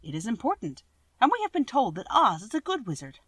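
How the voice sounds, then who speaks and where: A woman's voice: on the high side, huge pitch swings, fairly quick, fairly bright tone, breathy edge, no room sound, pitched above the performer's own voice, heavily acted. A woman reading aloud and voicing a scarecrow, speaking earnestly and insistently. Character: earnest male straw scarecrow.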